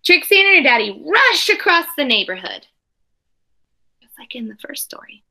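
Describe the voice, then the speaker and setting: Bright, very animated woman reading to children. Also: high voice, moderate pace. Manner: expressive, dramatic, explains things simply to children. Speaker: teacher reading a picture book to young pupils. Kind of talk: animated children's read-aloud with asides.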